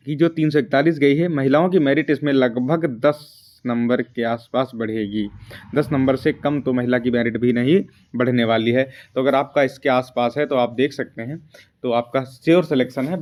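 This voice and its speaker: A man's voice: medium pitch, a little mellow. A young man gives informal explanatory commentary as a video presenter, repeating his points for emphasis. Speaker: exam-update video presenter.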